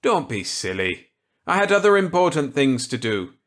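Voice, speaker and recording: A man's voice: fairly high pitch, big pitch swings, moderate pace, a bit bright, voice pushed up. A man voicing a genial, wry, unrepentant scientist in a storybook reading, with clipped, breezy delivery. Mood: wry, assured.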